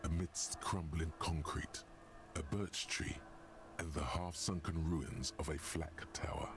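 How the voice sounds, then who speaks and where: An actor voicing a brooding male narrator in a video game, speaking in a slow, somber, descriptive way. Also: very deep voice, noisy background. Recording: narration over game music.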